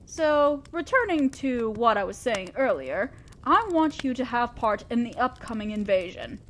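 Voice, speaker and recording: Woman playing a high-voiced, commanding female demon lord. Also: animated, fairly bright tone, a little hiss or hum. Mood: ambitious, businesslike. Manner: measured, formal, addressing an underling directly. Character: commanding female demon lord, fair-minded, ambitious, slyly teasing boss. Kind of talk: scripted roleplay audio drama.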